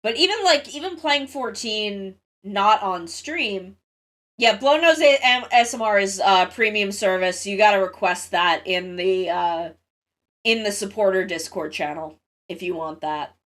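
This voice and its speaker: A woman's voice: medium pitch, measured pace, fairly bright tone. A woman with a congested, sniffly nose chats casually to livestream viewers, rambling, interrupting herself and swearing freely.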